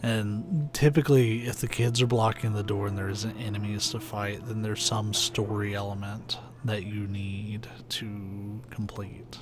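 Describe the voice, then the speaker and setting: A young man with a soft, soothing voice, chatting casually and rambling while he plays a video game on stream. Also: fairly low pitch, measured pace, few pauses. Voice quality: soft, soothing, sleepy-sounding voice. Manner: rambling, laid-back, many "like"s and "uh"s. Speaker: let's play streamer. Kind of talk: casual commentary while gaming. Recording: steady background noise hiss.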